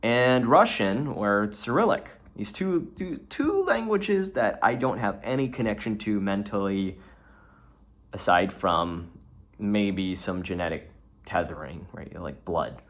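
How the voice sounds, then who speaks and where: A man's voice: fairly low pitch, big pitch swings, measured pace. A young man from Texas, rambling through a solo vlog with lots of restarts and fillers. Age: young adult. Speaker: vlogger.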